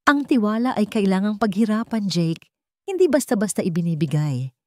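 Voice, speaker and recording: A woman's voice: mid-range voice, big pitch swings, normal speed, mellow, no room sound, voice pushed up, natural voice. A woman voicing a sweetly scheming wealthy aunt with a honeyed, falsely caring manner in an audio drama story.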